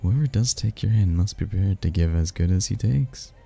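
A man's voice: deep voice, a little fast, creaky, warm tone, breathy edge, soft background music, heavily acted. A man playing a charming, teasing mysterious stranger at a royal ball in a romantic ASMR roleplay, speaking in a playful, flirtatious way.

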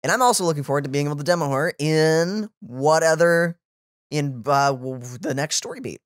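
A man's voice: on the high side, brisk, no room sound. A man in his twenties co-hosting a reaction video, chatting casually and sometimes searching for his words, close to the microphone. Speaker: reaction channel co-host. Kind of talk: casual chat. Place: small home room, indoors. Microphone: boom-arm studio microphone, close.

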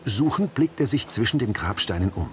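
A man's voice: mid-range voice, sing-song, a little fast, background noise. A man reading scripted audio description of scenes as a narrator. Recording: music under the voice.

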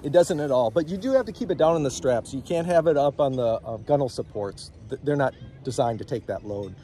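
A man in his forties calmly explaining his trailer in a matter-of-fact way, recorded outdoors on someone else's phone. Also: medium pitch, a little fast, slight background noise. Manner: calm, matter-of-fact, some repeated words. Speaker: trailer company owner, guest. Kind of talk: casual product explanation. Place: outdoors on a grassy park by a lake. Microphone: phone held by another person nearby.

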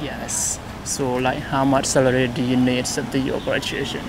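A young male host from Laos conducts a chatty street interview, answering each reply with an eager "wow" or "mm-hmm." His voice sits at a middle pitch. Steady traffic noise fills the outdoor street behind him. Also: moderate pace. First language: Lao. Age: young adult. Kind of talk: casual English interview questions. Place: outdoors on a city university street.

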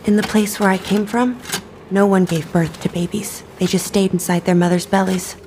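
A woman's voice: mid-range voice, fairly even intonation, moderate pace, background noise, close to an everyday voice. A young woman playing an innocent, wondering young woman from another world in a video game cutscene. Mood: wondering, earnest. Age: young adult.

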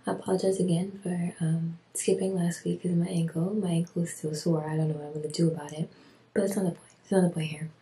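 A woman's voice: lowish voice, level tone, quick pace, warm tone, recorded from a distance. A young woman vlogging casually to a handheld camera late at night in a small bathroom, chatty and rambling with slang. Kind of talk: casual vlog talk to camera. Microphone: handheld camera close to the face. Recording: echoey bathroom, faint hiss.

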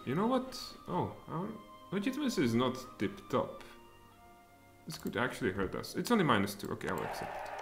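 A man in his thirties with a Czech accent, streaming a strategy game and thinking aloud, with sudden excited outbursts. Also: mid-range voice. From Czech Republic. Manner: mutters thoughts aloud, then bursts out excitedly. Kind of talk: live strategy game commentary. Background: faint music and keyboard typing.

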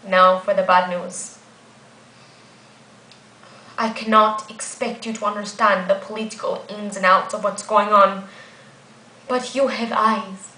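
Guardian angel, played by a woman: female, ancient, solemn rebel, medium register, deliberate stage delivery, roomy echoing sound. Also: mid-range voice, steady tone, bright, forceful tone. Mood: grave. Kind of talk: theatrical stage monologue. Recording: echoey room.

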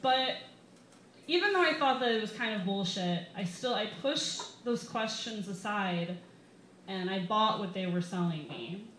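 A woman in her twenties with an American accent, reading a comedic personal essay live with wry, deliberate timing at a bar microphone. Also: mid-range voice, animated, fairly bright tone. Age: 20s. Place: small bar reading series, indoors. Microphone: microphone on a stand, held close. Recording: roomy live bar, some background noise.

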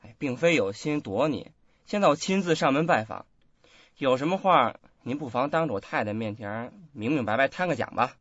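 An actor playing a cold, indignant young husband in a radio drama, stern, articulate and accusing. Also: male voice, medium pitch, animated, moderate pace, a bit bright, dry recording. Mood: cold, confronting. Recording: dull lo-fi audio, faint music.

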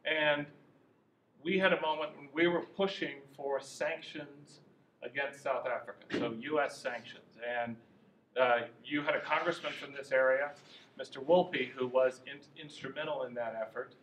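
A man in his sixties giving an informal talk to an audience in a university classroom, conversational and animated, with some restarts. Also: medium pitch, normal speed. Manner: conversational, gestures while talking, some restarts. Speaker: guest speaker, lobbyist. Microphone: far camera, no mic. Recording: echoey classroom from far off, faint noise.

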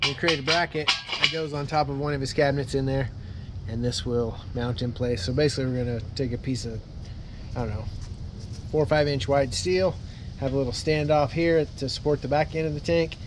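A man's voice: mid-range voice, fairly even intonation, a little fast, noisy background. Man explaining a build outdoors. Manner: practical, thinking aloud with restarts. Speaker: handyman helping with the build. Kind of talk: casual how-to explaining. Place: outdoors by a school bus.

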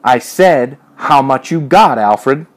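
A man's voice: medium pitch, animated, fast, darkish tone, pitched above the performer's own voice, close to an everyday voice. A man voicing a swaggering, muscular young bully who leads a gang in a story, with sneering, taunting, slangy talk. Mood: menacing.

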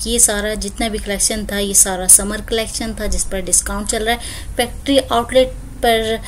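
A woman's voice: medium pitch, fairly even intonation, normal speed, slight background noise, poor audio. A woman, chatting through a clothing store walkthrough as a shopping vlogger, filmed on a phone. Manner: chatty, lists prices and discounts.

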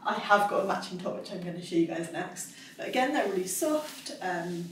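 A woman's voice: lowish voice, expressive, fairly quick, far from the mic, slight background noise. A young woman in her twenties chatting casually in a try-on haul, bubbly and giggly with lots of fillers. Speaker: fitness vlogger. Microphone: camera across the room. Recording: echoey room, voice from afar.

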